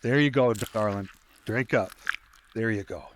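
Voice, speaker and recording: An actor playing a protective, guilt-ridden deputy father in an audio play, speaking tenderly and haltingly with a stern edge. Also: fairly low pitch, slight background noise, close to an everyday voice. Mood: tender, reassuring. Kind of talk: dramatic audio theatre acting.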